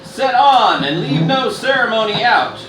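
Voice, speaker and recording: A man's voice: high-pitched, big pitch swings, unhurried. A man playing a bumbling, self-important ruler with hesitant mock-regal delivery in a comic Shakespearean radio play. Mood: puzzled, pompous. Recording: echoey room, distant, noisy.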